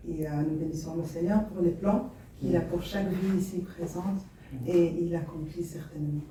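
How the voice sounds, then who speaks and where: A woman's voice: on the low side, steady tone, moderate pace, mellow. A woman greeting a congregation and thanking God, speaking with hesitant fillers. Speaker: guest speaker. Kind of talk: church greeting. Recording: echoey room, distant mic, background noise.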